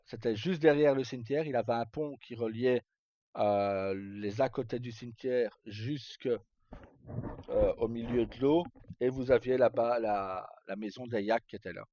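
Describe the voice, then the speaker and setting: A man chatting casually as a livestream host, telling roleplay stories in a halting, rambling way and often repeating words as he goes. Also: mid-range voice, warm tone, no echo. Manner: halting, rambling, frequent self-repetition. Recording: dull lo-fi mic, dry.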